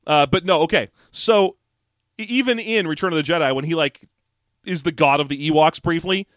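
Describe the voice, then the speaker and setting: A man co-hosting a podcast, chatting casually about a book, joking and laughing often and dropping into quick impressions. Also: a little high, a bit bright, dry recording. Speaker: podcast co-host. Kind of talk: casual chat discussing a book.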